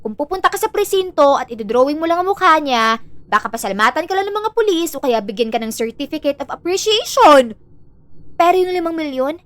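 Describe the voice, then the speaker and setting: A woman's voice: high voice, very animated, fairly quick, no echo. A woman playing a money-hungry, sarcastic and bossy younger sister in an audio drama.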